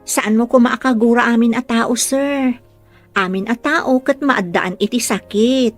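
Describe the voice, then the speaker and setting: An actress plays a gentle young caregiver in a radio serial. Her voice is high and she speaks respectfully and coaxingly, with music underneath. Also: a little slow, lightly acted. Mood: pleading, encouraging. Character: gentle, patient, pleading young female caregiver. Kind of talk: radio drama acting.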